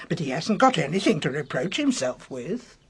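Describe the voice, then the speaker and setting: An actress in a radio drama playing a loyal, chatty British household servant, gossipy and insistent in everyday colloquial speech. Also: female voice, deep voice, very animated, normal speed, slightly rough, full character voice. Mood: insistent, confiding.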